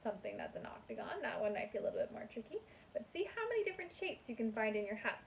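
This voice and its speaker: Higher-voiced woman teacher reading to young children. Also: very animated, fast talker, slight background noise, rough recording. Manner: sing-song, addressing young children. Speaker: kindergarten teacher. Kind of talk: children's picture book read-aloud.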